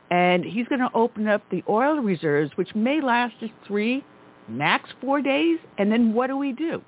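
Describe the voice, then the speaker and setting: A woman hosting a talk radio show, speaking emphatically and with indignation. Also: on the low side. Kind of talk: conservative talk radio chat. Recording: tinny phone line.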